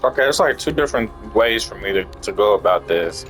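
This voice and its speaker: A man's voice: lowish voice, animated, brisk, fairly bright tone, faint music behind, rough recording. A man giving casual, offhand commentary while he plays a video game. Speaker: game streamer.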